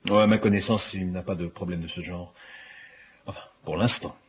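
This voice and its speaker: An actor playing a middle-aged police superintendent in a radio drama, courteous and tactful but firm, speaking formally. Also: male voice, sits low, steady tone, moderate pace, lightly acted. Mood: measured, firm. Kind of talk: naturalistic French radio drama acting.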